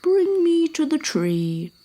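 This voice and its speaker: Woman playing a slow, booming, gentle elephant. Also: big pitch swings, full character voice. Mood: obliging. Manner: slow, reassuring, booming delivery. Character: huge, gentle, courteous male elephant. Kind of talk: children's storybook character voice.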